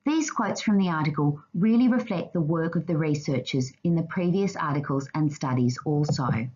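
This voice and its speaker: A woman narrating a scripted slide presentation in a formal, measured reading manner. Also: low voice, warm tone, slightly rough, muffled recording.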